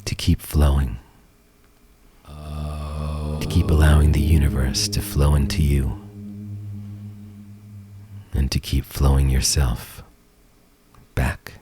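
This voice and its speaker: Very deep, slow-speaking male meditation guide. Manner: soothing, gentle, unhurried with long pauses. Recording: calm music bed behind voice. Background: meditation music.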